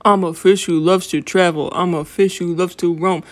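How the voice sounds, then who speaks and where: Woman playing a travel-loving fish, earnest, lowish voice, rhythmic rhymes, children's storybook. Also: steady tone, normal speed, close to an everyday voice. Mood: eager. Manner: earnest, rhythmic rhyming delivery.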